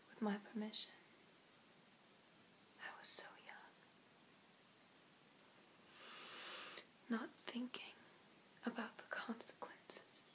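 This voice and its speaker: A woman's voice: a little high, rough recording. Woman playing a whispering, protective ancient vampire. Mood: wistful, intimate. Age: 20s. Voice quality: breathy unvoiced whisper. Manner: slow, hushed, soothing, with long pauses. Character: ancient female vampire, protective, gentle mentor to a newborn. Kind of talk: whispered ASMR roleplay. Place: small indoor room.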